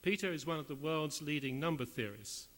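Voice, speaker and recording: A man formally introducing a lecture speaker, measured and formal. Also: on the high side, a bit bright. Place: lecture hall. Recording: reverberant hall.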